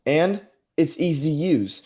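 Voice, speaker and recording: Parody commercial pitchman played by a man: smooth-talking, self-satisfied, dark mellow tone. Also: lively intonation, a little slow. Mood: suave. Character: suave, smug male radio-ad pitchman.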